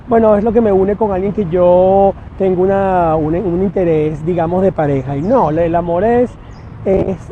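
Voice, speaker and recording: A man, a guest therapist on a podcast, explaining his ideas slowly and reflectively and often checking in with "right?". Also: high voice, dark tone. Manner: reflective, explains slowly with many "¿verdad?" tags. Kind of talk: podcast interview. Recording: dull lo-fi sound with faint noise.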